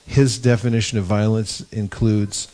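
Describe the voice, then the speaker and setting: A man's voice: on the low side, little pitch movement, moderate pace, warm tone. A man leading a devotional class discussion, telling anecdotes with wry humor. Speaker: discussion leader. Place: gathering in India.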